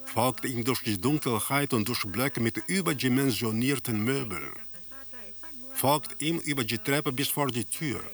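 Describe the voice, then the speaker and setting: Actor as a slow, creaky, detached male observer. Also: medium pitch, natural voice. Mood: detached, ominous. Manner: measured, detached, deliberate. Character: detached male observer slowly describing surreal scenes. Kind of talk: scripted radio drama narration.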